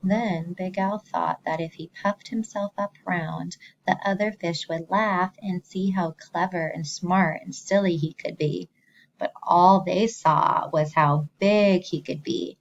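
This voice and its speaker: A woman teacher reading a story to young children, expressively, pausing to explain simply and ask the listeners questions. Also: on the low side, fairly even intonation, highs cut off, a little hiss or hum.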